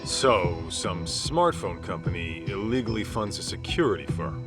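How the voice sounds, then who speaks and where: A gruff, easygoing detective. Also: male voice, low voice, expressive, moderate pace, music in the background. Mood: businesslike. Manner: blunt, casual slangy talk.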